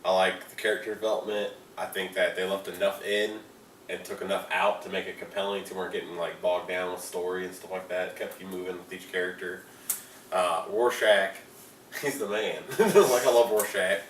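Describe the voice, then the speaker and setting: Male host, fairly low pitch, laid-out informal movie talk, echoey room with a touch of noise. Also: fairly even intonation, normal speed, a bit bright. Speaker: podcast host. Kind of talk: casual chat. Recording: roomy, distant mic, faint noise.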